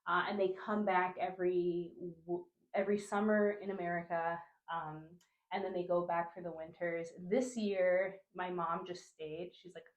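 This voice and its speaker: A woman's voice: fairly low pitch, steady tone, normal speed, echoey room, highs cut off. A young woman from Honduras who grew up in New Jersey, talking casually as a podcast guest and filling her speech with "like" and "you know". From Honduras, raised in New Jersey. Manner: chatty, many fillers like "like" and "you know". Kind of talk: casual conversational interview.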